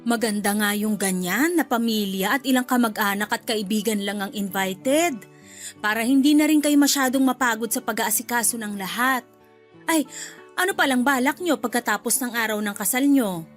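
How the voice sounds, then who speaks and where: Motherly, insistent middle-aged woman, acted, fairly high-voiced. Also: normal speed, soft background music, close to an everyday voice. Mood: coaxing, insistent. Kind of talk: Filipino radio drama dialogue.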